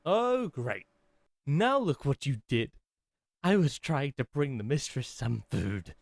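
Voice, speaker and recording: A man's voice: mid-range voice, sing-song, takes it slowly, gravelly, no room sound, studio quality, full character voice. A man playing a chipper, theatrical male butler with a hidden menace in an audio roleplay drama, speaking formally. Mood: whiny.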